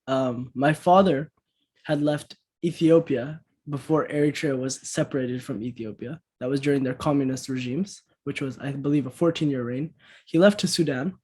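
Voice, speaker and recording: A young man, a podcast guest, speaking in a measured, hesitant way with fillers. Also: on the high side, a little mellow, husky. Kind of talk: casual interview chat.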